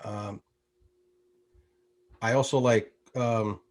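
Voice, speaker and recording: A man from the United States talking casually as an interview guest, relaxed and rambling, with lots of fillers. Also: lowish voice, very slow. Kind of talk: casual conversation.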